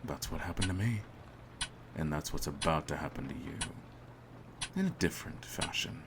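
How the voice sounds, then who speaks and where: A cold, smug hitman, acted by a man for an audio roleplay. His voice is extremely deep with a gravelly creak, and he phrases things slowly and theatrically, full of menace. Clear noise runs behind the recording. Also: expressive, full character voice. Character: cold, smug male hitman holding his target at gunpoint. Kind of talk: scripted ASMR audio roleplay.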